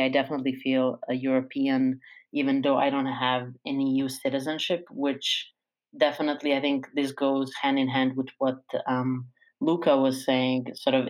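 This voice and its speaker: Very low-voiced, reflective young woman. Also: darkish tone, low-quality recording. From Serbia. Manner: reflective, hedging with "I feel like". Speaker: panel discussant. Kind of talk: casual discussion.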